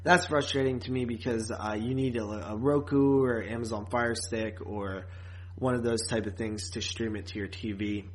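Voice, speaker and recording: A man co-hosting a podcast in a casual, chatty way, often pausing and repeating words as he thinks. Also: mid-range voice, steady tone, low-quality recording. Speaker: podcast co-host.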